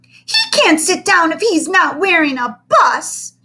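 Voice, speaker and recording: Tiny, shrill, insistent kindergarten girl, voiced by a woman. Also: big pitch swings, slow, sharp tone, full character voice. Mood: shrieking. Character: shrieking bossy little kindergarten girl.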